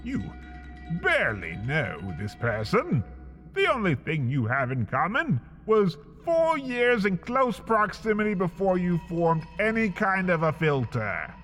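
Male storyteller, slightly high voice, dry humor, unhurried, comic second-person narration. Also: huge pitch swings, a bit bright, in a large hall, soft background music. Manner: slow, wry second-person storytelling with big swings in pitch. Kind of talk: scripted comedic narration.

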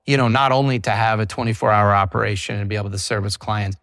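A man's voice: fairly low pitch, fast talker, a bit bright, dry recording, clean studio sound. A middle-aged man, a company CEO, talking through his business in a casual interview. He is chatty, leans on "you know" fillers, and acts out what his clients say. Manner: quotes clients in an acted voice.

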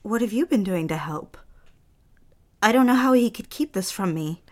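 A woman's voice: mid-range voice, lively intonation, moderate pace, natural voice. An actress playing an anxious young female secretary, apologetic and unsettled. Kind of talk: audio drama acting.

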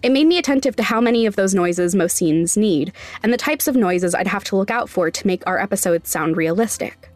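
A woman hosting a podcast, giving scripted instructional narration with deliberate, emphatic step-by-step phrasing. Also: mid-range voice, expressive, a little mellow, no room sound, soft background music. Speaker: podcast host, sound designer.

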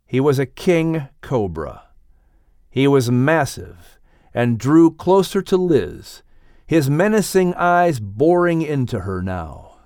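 A man's voice: mid-range voice, very animated, slow pace, darkish tone, smooth, no room sound, clean studio sound. A man narrating a dramatic children's audiobook story in a scripted storytelling style.

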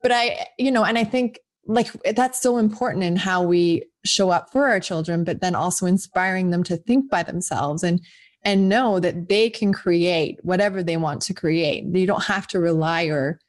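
A woman in her forties talks as a guest author in a relaxed interview. Her voice sits a little low, and she rambles cheerfully, circling back with restarts and fillers. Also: moderate pace. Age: 40s. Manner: chatty, rambling with many restarts and likes. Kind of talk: casual podcast interview.

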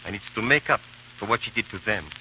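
An actor playing an earnest, worried French patriot and loyal comrade in 1930s radio drama style, intense and dramatic. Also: male voice, fairly low pitch, animated, fast talker, close to an everyday voice. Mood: concerned. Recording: muffled, hissy 1930s radio recording.

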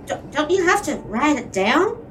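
A woman's voice: high-pitched, very animated, a little hiss or hum. A woman playing a brazen, flirtatious and sly 1920s dame in a period audio drama, coy and teasing. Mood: flirty, coaxing. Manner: coy, teasing, drawn-out phrasing.

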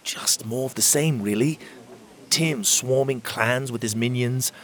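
A man voicing an earnest, uneasy young male fairy hero, raised in pitch and at times whispered. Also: a little slow, natural voice.

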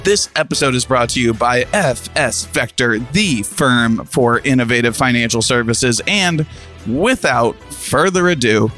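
A man's voice: mid-range voice, measured pace, pushed and bright, faint music behind. A man hosting a podcast interview, asking loose, rambling questions in a casual chatty way. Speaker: podcast host.

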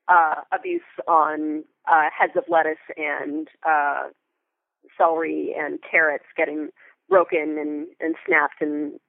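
Female Foley artist, enthusiastic interviewee with a gravelly fry, heard over a tinny phone-like connection. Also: sits low, no echo. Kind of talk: casual interview storytelling. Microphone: phone or remote call. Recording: tinny, narrow phone-like line.

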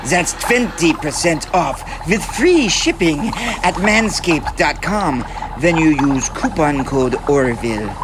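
An actor playing a pompous, earnest pitchman who speaks in formal, grandiose, slightly awkward phrases in a comic ad. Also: background noise. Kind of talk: comic scripted ad read in character.

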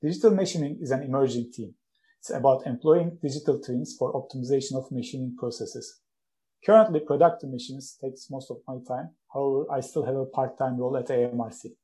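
This is a man, answering interview questions about his work. His voice is mellow and warm rather than bright. He speaks in a measured, explanatory way and sometimes restarts a phrase. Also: medium pitch. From Turkey. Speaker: guest, company founder.

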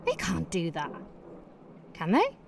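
An actress playing a dry, cynical junior politician in a radio play, wry and blunt. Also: female voice, lowish voice, wildly swooping pitch, slow pace, gravelly, in a large hall, a little hiss or hum, heavily acted. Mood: indignant.

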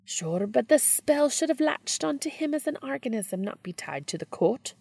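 A woman's voice: sits high, big pitch swings, moderate pace, gravelly, a little mellow, breathy edge, no room sound, pitched above the performer's own voice, full character voice. A woman voicing a haughty, exasperated witch with a Scottish brogue in a storybook reading. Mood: exasperated, smug. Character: smirking Scottish witch, grousing, bemused, haughty spellcaster.

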